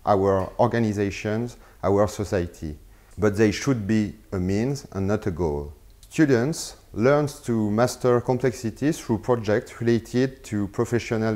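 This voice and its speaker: A man's voice: fairly low pitch, a little mellow. A man in his forties giving a scripted promotional presentation to camera as a department head, recorded indoors. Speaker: head of a design school department. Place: indoor room with bookshelves.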